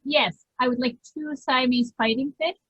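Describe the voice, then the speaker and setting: A woman plays a polite, well-to-do lady customer in an old-time radio comedy. Her voice is high, with a rough, breathy edge. She is unfussy and courteous. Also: moderate pace, fairly bright tone, muffled recording.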